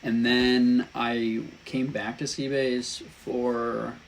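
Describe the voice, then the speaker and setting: A young man from St. Louis, hosting a podcast and chatting casually in a relaxed, hesitant way with lots of ums. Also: medium pitch, extremely slow, creaky, a little hiss or hum, rough recording. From St. Louis, Missouri. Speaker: podcast host. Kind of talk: casual conversation.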